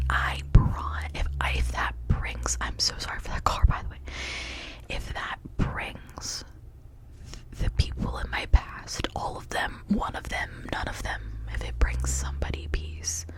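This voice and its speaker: A woman speaking in a breathy whisper, rambling in a reflective, earnest and confiding way as an ASMR creator. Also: extremely low pitch, poor audio. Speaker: ASMR video creator and host.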